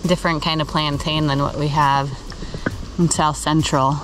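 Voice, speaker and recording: A woman's voice: low voice, flat delivery, moderate pace, over music. An American woman, around her thirties, chatting casually and self-deprecatingly as a vlogger, recorded outdoors on a camera microphone. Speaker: sailing vlogger. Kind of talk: casual chat. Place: outdoors on open water and shoreline. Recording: outdoor camera audio with clear background noise.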